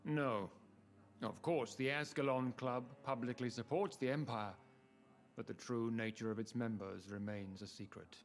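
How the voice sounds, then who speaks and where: A man's voice: medium pitch, steady tone, close to an everyday voice. Man playing a grandiloquent British vampire lord. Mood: calm, authoritative. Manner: formal, grandiloquent, measured. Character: ancient aristocratic male vampire lord, founder of a secret club. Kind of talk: scripted video game dialogue.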